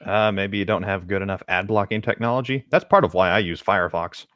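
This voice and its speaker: A man's voice: low voice, fairly quick, fairly bright tone, dry recording, highs cut off. A man co-hosting a podcast in casual chat, making wry remarks. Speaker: podcast co-host.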